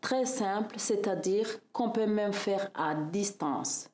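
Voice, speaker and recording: A woman from Rwanda in her fifties, a nutritionist describing her work in a measured interview, with pauses and hesitations. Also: medium pitch, expressive, a little mellow, reverberant. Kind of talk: interview testimony.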